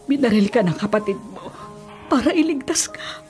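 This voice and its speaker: A woman's voice: on the high side, lively intonation, moderate pace, over music, close to an everyday voice. An actress with a Filipino accent playing a loving, imploring mother of a wayward grown son in a radio drama. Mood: earnest explaining. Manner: earnest, imploring.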